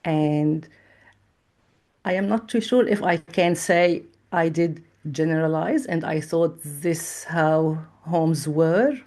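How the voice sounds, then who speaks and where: Slow, low-voiced woman from Lebanon. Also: darkish tone. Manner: measured and thoughtful, with frequent pauses and fillers. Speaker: trauma therapist guest. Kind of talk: reflective personal interview.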